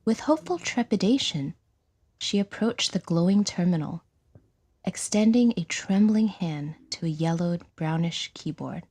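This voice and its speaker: A woman narrating a scripted audio drama in a measured, dramatic storytelling manner with a breathy voice. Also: fairly low pitch, expressive, warm tone.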